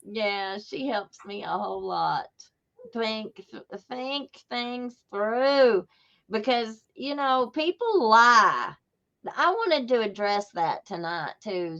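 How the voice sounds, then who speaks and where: A woman hosting a podcast speaks with a Southern Appalachian accent at a slow, easy pace. Her delivery is folksy and conversational, and the recording sounds slightly dull and lo-fi. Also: mid-range voice, a bit bright. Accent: Southern Appalachian American. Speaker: podcast host. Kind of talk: casual chat.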